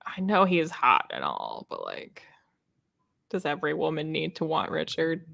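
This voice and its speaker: A woman's voice: deep voice, wildly swooping pitch, normal speed, gravelly, a bit bright, no echo. Young woman, close dry casual chat. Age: young adult. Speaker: book livestream co-host. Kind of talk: casual book discussion. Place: room at home, indoors.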